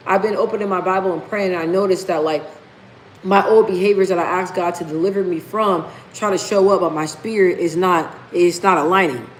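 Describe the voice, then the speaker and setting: A woman's voice: lowish voice, fairly even intonation, a little fast, slight background noise, poor audio. Emphatic woman hosting a live stream. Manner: emphatic, repeats key phrases; reads viewer comments aloud. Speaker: livestream host. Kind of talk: casual live stream chat.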